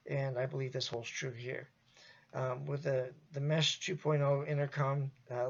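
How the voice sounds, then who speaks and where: A man giving an informal, loosely improvised product review in a halting way. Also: mid-range voice, creaky, muffled recording.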